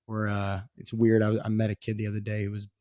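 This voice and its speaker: A young American man in his twenties with a fairly low voice, talking in a relaxed, chatty way as a podcast guest. He restarts his sentences often, and the recording is close and dry. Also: quick pace, no echo. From Maryland, USA. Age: 20s. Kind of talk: casual interview chat.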